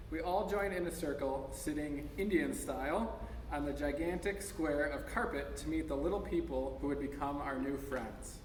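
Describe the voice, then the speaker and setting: An American man in his thirties reading an essay aloud to a gathering in an informal way, now and then stumbling over his words. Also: fairly high pitch. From United States, Minnesota. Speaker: speaker at a memorial service. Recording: echoey hall from far off, noisy.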